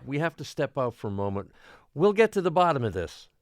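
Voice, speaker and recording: An actor playing a polite, placating but firm small-town mayor in an audio drama. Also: male voice, mid-range voice, very animated, fairly quick, lightly acted.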